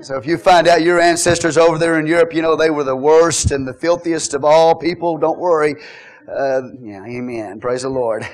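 Middle-aged man, pastor mid-sermon; fairly high voice, playful asides, builds to loud exhortation. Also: fairly even intonation, normal speed, poor audio. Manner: jokey, swings from quiet asides to loud exhortation.

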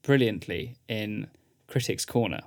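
A man's voice: fairly low pitch, expressive, measured pace. A man co-hosting a casual podcast, talking thoughtfully and stumbling over words now and then. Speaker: podcast co-host.

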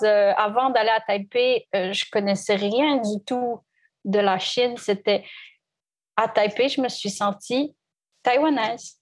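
A woman violinist from Canada, in her thirties, talking reflectively in an interview, hesitant with many restarts. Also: a little high. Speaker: violinist guest. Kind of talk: conversational interview.

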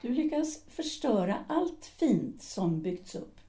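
A woman's voice: on the high side, big pitch swings, mellow. A woman playing a bitter, cutting middle-aged wife in an audio drama. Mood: scornful. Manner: cutting, scornful. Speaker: Alice. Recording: roomy echo.

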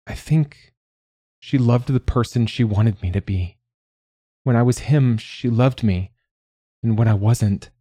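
A man's voice: mid-range voice, unhurried, long pauses, dark tone, breathy edge, no echo, studio quality, voice pushed up. A man voicing a troubled, wounded young male in an audiobook, plaintive and hesitant. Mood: wounded, reflective. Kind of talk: dramatised audiobook narration.

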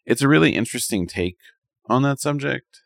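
A man's voice: medium pitch, lively intonation, a little fast, creaky, no echo, clean studio sound. A man co-hosting a podcast in casual chat, rambling a little and thinking aloud as he gives his opinions. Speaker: podcast co-host. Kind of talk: casual chat, reviewing anime.

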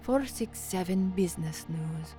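A woman's voice: lowish voice, measured pace, warm tone, soft background music, close to an everyday voice. A woman playing a weary, anxious radio newsreader who keeps a brave face in an audio drama. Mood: formal newsreading. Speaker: lead actress and narrator of an audio drama. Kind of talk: audio drama acting, first-person narration and formal newsreading.

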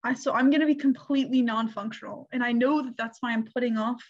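Young woman, casual chat, laughing, meandering, fairly high voice, slightly dull sound. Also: fairly even intonation, fast. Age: young adult.

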